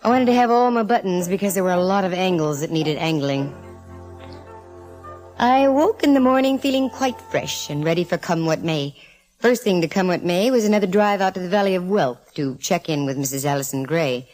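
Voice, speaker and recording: A woman's voice: fairly low pitch, lively intonation, a little fast, a little mellow, close to an everyday voice. An actress playing a wisecracking, self-possessed female private eye in 1940s radio style, dry and slangy. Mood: narrating, wry. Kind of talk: 1940s radio acting, first-person detective narration. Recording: old radio recording, faint music.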